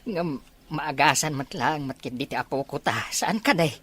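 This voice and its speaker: A man's voice: on the high side, animated, moderate pace, sharp tone, breathy edge, full character voice. Man acting a worried old grandfather, swinging intonation. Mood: worried, hopeful. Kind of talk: Ilocano audio drama acting.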